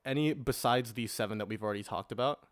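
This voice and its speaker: A man's voice: medium pitch, fast, clear, resonant tone. A man hosting a casual panel discussion, talking in a jokey, slangy way. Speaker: podcast host.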